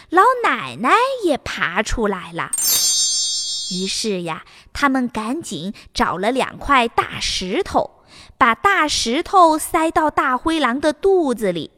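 A woman narrating a fairy tale for children in an expressive storytelling manner. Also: on the high side, big-room sound. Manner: expressive, spoken to young listeners with sentence-end particles. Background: music.

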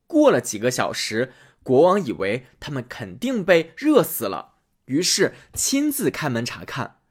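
A man reading a fairy tale aloud as a storyteller, in a lively, expressive way. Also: mid-range voice, unhurried, slightly rough.

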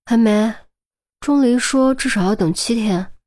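A woman's voice: medium pitch, moderate pace, darkish tone, slightly rough, lightly acted. Woman voicing a meek, flustered young man. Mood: helpless, meek. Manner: weak, hesitant, pleading. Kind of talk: audiobook character dialogue.

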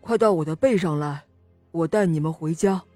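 A woman voicing a big, kindly male animal in a children's storybook, low-toned and calling out urgently. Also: normal speed, warm tone, dry recording, close to an everyday voice. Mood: urgent, helpful. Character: big male whale or polar bear, kindly protector. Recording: music under the voice.

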